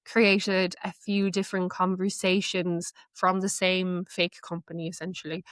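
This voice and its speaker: Woman, interview guest, explanatory walkthrough, crisp smooth voice, flat even intonation. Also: on the low side, measured pace, no echo. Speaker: guest, AI knowledge manager.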